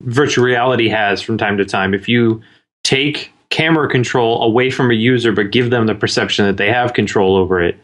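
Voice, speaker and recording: A man on a gaming podcast, calmly explaining a technical point. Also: mid-range voice, a little fast. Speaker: podcast guest. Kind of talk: casual chat.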